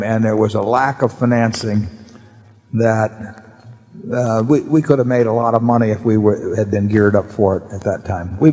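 A man's voice: lowish voice, darkish tone. An older man on stage at a table answering a question, rambling and repeating words as he talks. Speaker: company chairman answering questions. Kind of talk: unscripted answer at shareholder meeting. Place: large hall on stage at table. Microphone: table mic. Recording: long hall reverb.

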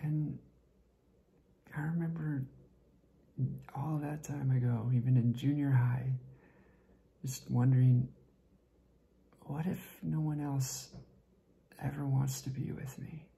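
Man acting lovesick tipsy young man, mellow creaky voice, halting repeats, near-whispered drops, dramatic monologue. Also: medium pitch, steady tone. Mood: wistful, rueful. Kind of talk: dramatic stage monologue rehearsal.

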